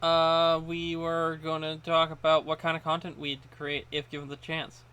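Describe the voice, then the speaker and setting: A man's voice: a little high, completely flat delivery, moderate pace, bright, forceful tone, clear, resonant tone, dull audio. A man co-hosting a podcast in casual chat, often repeating words as he gets a sentence going. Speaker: podcast co-host.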